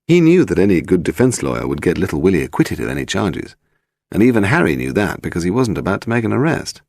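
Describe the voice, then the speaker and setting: Male audiobook narrator, British, expressive scripted storytelling, creaky low voice, close dry sound. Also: fast talker, no echo.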